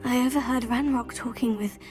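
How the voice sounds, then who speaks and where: A woman's voice: a little high, fairly even intonation, brisk, a little mellow, lightly acted. An actress playing a polite, brave and eager teenage witch student in a video game, composed and inquisitive. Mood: earnest. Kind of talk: video game cutscene acting. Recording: background music under the voice.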